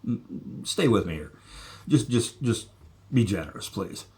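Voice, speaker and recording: Man, fairly low voice, casual travel story, rambling, self-correcting, restarts. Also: sing-song, measured pace, a little mellow. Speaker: vlogger.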